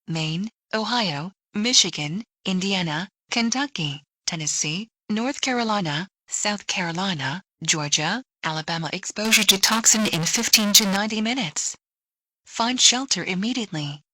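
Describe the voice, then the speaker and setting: A woman's voice: mid-range voice, expressive, moderate pace, bright, forceful tone, no room sound. A woman announcing a scripted emergency alert, reading lists and instructions in a clipped, impersonal manner.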